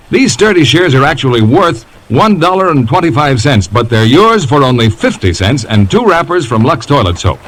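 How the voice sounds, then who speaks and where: A man's voice: fairly high pitch, fairly bright tone, poor audio. An American man announcing in a scripted 1950s radio style, with polished, emphatic salesmanship. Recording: vintage radio broadcast with music.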